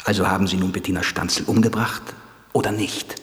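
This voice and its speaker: Low, drily sarcastic lawyer played by a man. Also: steady tone, brisk, lightly acted. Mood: insistent. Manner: matter-of-fact, hesitant openings, dry sarcasm. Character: dogged, underestimated male public defense lawyer, drily sarcastic. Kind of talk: radio drama dialogue. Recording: echoey room with long reverb.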